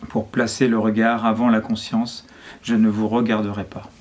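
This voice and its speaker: A man reading a poem in a measured, solemn way, phrase by short phrase. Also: on the low side, flat delivery, darkish tone. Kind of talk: poetry reading.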